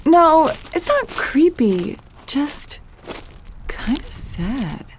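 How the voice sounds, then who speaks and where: A woman's voice: high-pitched, huge pitch swings, drawn-out words, a little hiss or hum, heavily acted. A woman playing a defiant, sensitive schoolgirl who is new in town, in an audio drama, with an earnest, hesitant delivery. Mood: hesitant, wistful.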